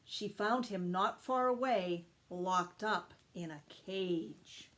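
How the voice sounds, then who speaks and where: A woman reading a children's story aloud with expressive, deliberate emphasis. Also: mid-range voice. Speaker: storytime reader, teacher.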